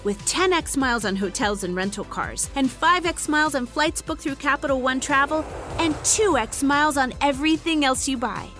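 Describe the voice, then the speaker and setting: A woman's voice: sits high, expressive, normal speed, fairly bright tone, soft background music. A woman announcer reading a scripted commercial voice-over. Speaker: advertising announcer.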